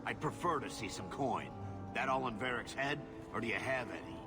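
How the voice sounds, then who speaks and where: A man voicing a gruff, suspicious, money-minded dwarf expedition boss in a video game, blunt and brusque. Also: medium pitch, lively intonation, fairly quick, natural voice. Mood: gruff, businesslike. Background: loud music.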